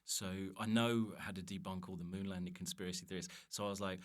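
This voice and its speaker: A man with a fairly low voice talks as a comedian guest on a podcast. He tells stories casually and with animation, often at his own expense. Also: fairly even intonation, fast. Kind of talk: casual comic storytelling chat.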